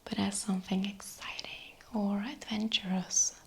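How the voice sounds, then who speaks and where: A young woman with a Swedish accent whispering softly in an ASMR question-and-answer video, unhurried, with small hesitations. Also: medium pitch, level tone, creaky. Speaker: ASMR creator.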